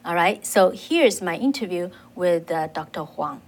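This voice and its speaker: A woman, hosting and narrating a political segment in a measured way, with frequent fillers. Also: lowish voice, expressive. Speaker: interviewer and host. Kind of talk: scripted news commentary.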